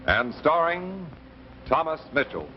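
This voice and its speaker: A man announcing a 1950s drama in a portentous, deliberate style on an old broadcast recording. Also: a little high, big pitch swings, muffled recording, very noisy.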